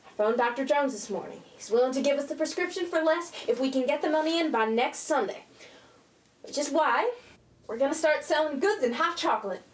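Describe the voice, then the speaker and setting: Woman acting as teenage big sister, high voice, responsible, headstrong, radio play, echoey room. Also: expressive, fairly quick. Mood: brisk, determined. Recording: roomy, distant mic, faint hiss.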